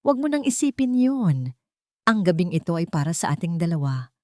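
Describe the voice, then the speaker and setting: Calculating rich husband, read by a female narrator: smooth, curt, cool delivery, lowish voice, dry audio-story sound. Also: very animated, normal speed, warm tone, no echo. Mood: soothing. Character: cold, calculating, deceitful millionaire husband.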